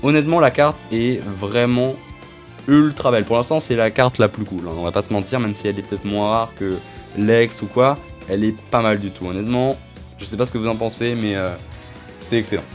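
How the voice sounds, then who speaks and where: A man's voice: lowish voice, a little fast, faint music behind. A young man with a stuffy cold hosting a casual card-opening vlog. He chats and rambles, naming each card as he goes. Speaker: channel host. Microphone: phone camera.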